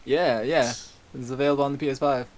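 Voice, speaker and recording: A man's voice: mid-range voice, steady tone, fast. A man chatting casually as co-host of a group livestream, jokey and often chuckling. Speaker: co-host and story reader.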